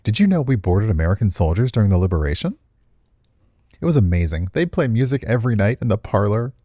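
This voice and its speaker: A man's voice: lowish voice, big pitch swings, fast, mellow, dry recording, studio quality. A man voicing a well-meaning but condescending, nostalgic older woman in visual novel dialogue, with a genteel, airy manner.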